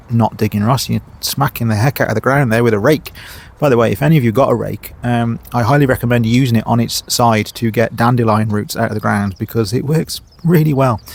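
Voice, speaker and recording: A man hosting a gardening vlog, talking casually outdoors at his allotment, sniffly with a cold and filling his talk with lots of uhs and ums. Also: medium pitch. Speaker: gardening channel host. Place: outdoor allotment by a main road.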